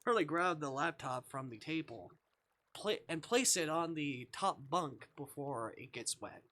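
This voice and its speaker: A man's voice: fairly high pitch, lively intonation, measured pace, pushed and bright. A man voicing an uneasy, confused young woman who narrates a visual novel in the first person, over music. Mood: startled.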